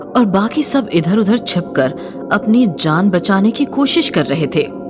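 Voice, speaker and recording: A woman's voice: medium pitch, big pitch swings, measured pace, music in the background. A woman giving dramatic scripted narration of a horror story.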